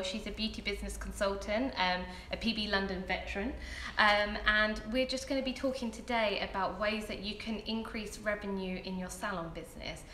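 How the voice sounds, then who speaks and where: A young woman with a bright, crisp voice hosts a casual interview, friendly and encouraging. The small room gives an echoey sound with faint noise behind. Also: mid-range voice. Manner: friendly, frequent short backchannels. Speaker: interviewer, magazine host.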